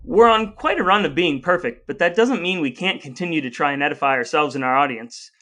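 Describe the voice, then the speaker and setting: Bright, higher-pitched American male podcast host. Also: animated, fairly quick. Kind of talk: scripted podcast intro and casual chat.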